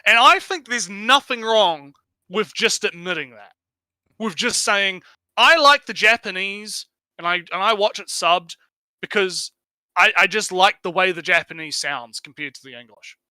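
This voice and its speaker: A man's voice: sits high, expressive, measured pace, pushed and bright, clean tone, no echo, poor audio. A man hosting a reaction video, chatting casually with frequent repeats, filler words and swearing. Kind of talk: casual opinion chat.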